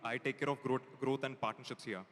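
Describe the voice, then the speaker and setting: A man's voice: mid-range voice, steady tone, fast talker, a bit bright. A man in his twenties with an Indian accent, talking casually as a panelist at a live event. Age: 20s. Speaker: startup team member, panelist. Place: indoor event hall with audience. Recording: reverberant hall over PA.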